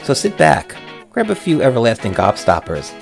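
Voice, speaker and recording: A man's voice: medium pitch, huge pitch swings, moderate pace, vocal fry, soft background music. A man hosting an interview show, introducing his guest and asking questions.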